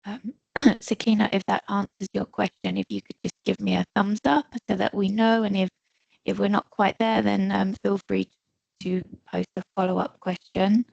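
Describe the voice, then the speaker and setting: A woman's voice: mid-range voice, fairly even intonation, normal speed, creaky, darkish tone, no echo, highs cut off. A woman hosting a live online question session, politely reading out questions and guiding participants with some hesitation. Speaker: moderator of online Q&A.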